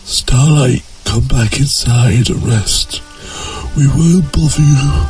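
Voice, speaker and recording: A man acts a gentle old headmaster in an audio drama, pained but patient. He speaks slowly at a middling pitch, with faint music behind. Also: natural voice. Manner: gentle, deliberate.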